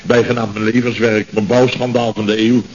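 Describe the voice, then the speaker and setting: An actor playing a blustering, sarcastic construction boss in a radio comedy serial, repeating himself in exasperation, with a Netherlandic Dutch accent. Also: male voice, medium pitch, flat delivery, a little fast, close to an everyday voice. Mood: despairing, incredulous. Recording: dull, noisy old radio recording.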